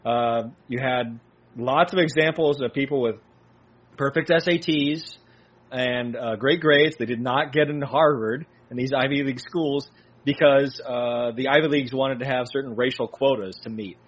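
A man's voice: medium pitch, fairly even intonation, normal speed, breathy edge. A man co-hosting a political talk show, laying out his argument steadily and point by point. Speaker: co-host. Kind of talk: political commentary chat. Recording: dull lo-fi sound.

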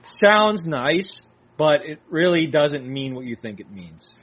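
A man's voice: fairly high pitch, very animated, slow pace, a bit bright. A man co-hosting a political talk show, laying out his argument steadily and point by point. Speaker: co-host. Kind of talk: political commentary chat. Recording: dull lo-fi sound.

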